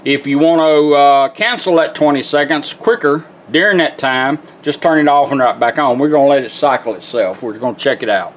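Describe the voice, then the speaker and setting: A man's voice: mid-range voice, fairly quick, few pauses, a little hiss or hum. A man giving an informal, folksy, step-by-step product demonstration in a plain-spoken way. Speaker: vintage car radio restorer demonstrating a product. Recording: music from the demonstrated radio behind.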